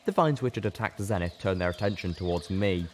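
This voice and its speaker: A man's voice: low voice, animated, a little fast. A man reading a story aloud as a dramatic, intense audiobook narration. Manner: intense, theatrical. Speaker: reader of a fan fiction story. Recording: clearly noisy background.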